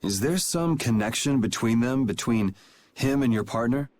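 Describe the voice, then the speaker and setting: A man's voice: medium pitch, lively intonation, normal speed, darkish tone, slight background noise, lightly acted. A man voicing a laid-back, perceptive young hero in a video game, with a casual, wry manner. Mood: calm, probing.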